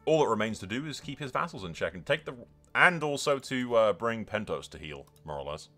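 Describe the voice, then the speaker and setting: A man narrates his video game like a saga, with a lowish voice that swings widely in pitch. He is casual and sweary, slipping into grand announcer phrasing and emphatic repetition. Game music plays behind him. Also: sing-song, a little fast, a bit bright. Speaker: gaming video creator. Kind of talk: casual, sweary let's play commentary with storytelling.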